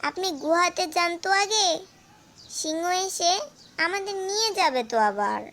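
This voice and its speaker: A very high-pitched cartoon mother goat, played by a woman, sly and protective. She talks in a coaxing, glib way, sweet-talking like a born persuader. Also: very animated, normal speed, sharp tone, exaggerated voice. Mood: sly flattery. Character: clever mother goat, sly flatterer protecting her kid. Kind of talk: animated fable character acting.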